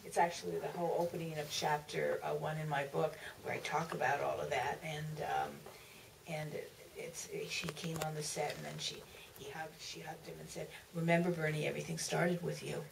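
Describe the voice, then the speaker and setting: A woman author recollecting at a live Q&A in a theater, speaking haltingly with repeats and trailing phrases. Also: sits low, little pitch movement, creaky. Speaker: author, panel guest. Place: museum theater. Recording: distant, noisy auditorium pickup.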